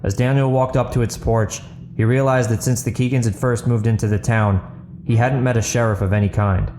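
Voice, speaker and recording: A man's voice: medium pitch, fairly even intonation, a little fast, a little mellow, soft background music. A man reading a scripted story as the narrator of an audio book. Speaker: author narrating his audio book podcast.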